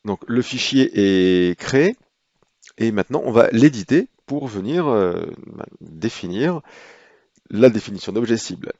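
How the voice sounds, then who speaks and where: A man calmly walking through a software procedure in a tutorial, pausing often with hesitations as he explains each step. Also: fairly low pitch, no room sound, lo-fi sound. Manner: unhurried, explanatory, frequent hesitations. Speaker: software trainer. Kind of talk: screen-recorded software tutorial.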